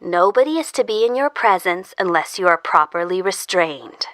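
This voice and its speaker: A woman playing a cool, formal official who holds someone captive, speaking in measured lines in an audio drama. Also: lowish voice, animated, fairly bright tone, no room sound. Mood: firm, formal. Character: cool, controlled female captor, an unseen official voice. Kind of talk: audio fiction dialogue.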